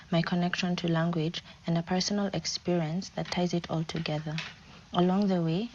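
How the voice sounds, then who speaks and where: Kenyan student in her twenties, lowish, flat delivery, dull lo-fi recording with faint hiss. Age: 20s. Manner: steady, read-out delivery. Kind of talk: scripted personal presentation.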